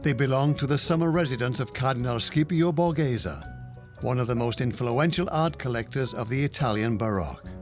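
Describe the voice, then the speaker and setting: A man narrating a travel documentary in a calm, measured scripted voice-over. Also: medium pitch. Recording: voice-over with music behind. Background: music.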